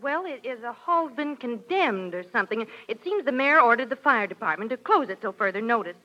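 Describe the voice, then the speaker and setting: An American housewife, with a high, animated voice and rapid delivery. It is 1940s radio comedy, heard through a dull old recording. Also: female voice. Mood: apologetic. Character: Riley's wife, breaking household news.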